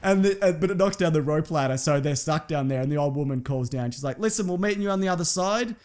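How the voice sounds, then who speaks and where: A man's voice: a little high, expressive, fast talker, a little mellow, clear, resonant tone. A man with an Australian accent, co-hosting and retelling a story in casual, rambling, jokey chat with acted-out dialogue. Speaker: podcast co-host retelling a story.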